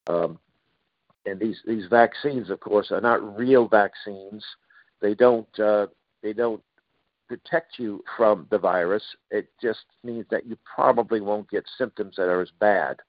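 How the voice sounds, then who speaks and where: Middle-aged male radio host, sarcastic, halting delivery; dull, dry lo-fi sound. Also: lowish voice, dry recording. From Boston, Massachusetts. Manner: sarcastic, halting with frequent uhs and restarts. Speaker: radio talk show host. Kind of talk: talk radio monologue.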